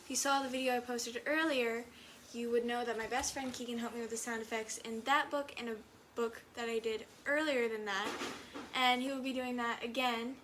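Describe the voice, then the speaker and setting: Woman, slightly high voice, children's storybook narration, read-aloud host. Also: fairly even intonation, moderate pace, fairly bright tone, slight background noise. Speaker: storybook reader, video host.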